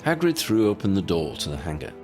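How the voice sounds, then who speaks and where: A man's voice: low-pitched, wildly swooping pitch, fairly quick, over music. A man narrating written fiction in a scripted storytelling style. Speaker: audiobook narrator.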